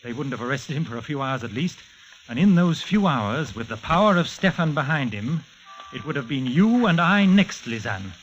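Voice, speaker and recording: A man's voice: mid-range voice, lively intonation, normal speed, natural voice. Actor doing an intense schemer guy, 1940s radio drama, muffled and a bit hissy. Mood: intense, explaining. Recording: muffled, faintly hissy old radio recording.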